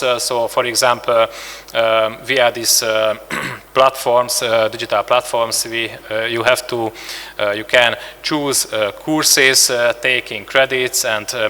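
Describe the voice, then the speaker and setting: A man speaks with a Hungarian accent, pausing often and filling gaps with hesitant sounds as he walks through his slides. His voice has a bright edge. It carries a long reverb, as if recorded in a large hall. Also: medium pitch. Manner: halting, many filler sounds and restarts. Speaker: conference speaker. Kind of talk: presenting slides at a conference.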